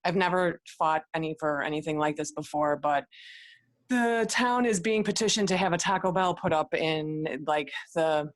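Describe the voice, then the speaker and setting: A woman's voice: sits low, normal speed, dry recording. A woman in her forties or older, chatting casually on a video call, rambling with many restarts and small laughs. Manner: rambling, restarts often, laughs between thoughts. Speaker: participant in a coaching group call.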